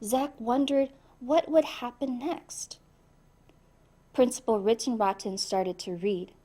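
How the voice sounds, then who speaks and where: Woman, high-pitched, slow-paced, expressive children's read-aloud, speaks to young listeners. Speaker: storybook reader.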